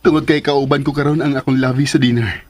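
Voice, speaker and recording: A man's voice: mid-range voice, fairly even intonation, fast talker, background noise, natural voice. An actor with a Filipino accent playing a smitten man scheming to win back an old love in a radio drama. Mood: scheming, wistful. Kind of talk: Philippine radio drama acting.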